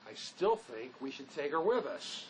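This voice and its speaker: An actor playing a guarded, stubbornly protective fugitive in a drama, with a terse, questioning way of talking. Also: male voice, mid-range voice, big pitch swings, moderate pace, slight background noise, natural voice, poor audio. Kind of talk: dramatic dialogue reading.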